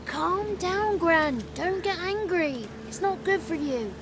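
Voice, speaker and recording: A woman's voice: extremely high pitch, animated, slow, background noise, exaggerated voice. A woman voicing a cheeky childlike male swamp spirit in a video game, with theatrical, quaint rustic turns of phrase. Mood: pleading, cheeky. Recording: music under the voice. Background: game music.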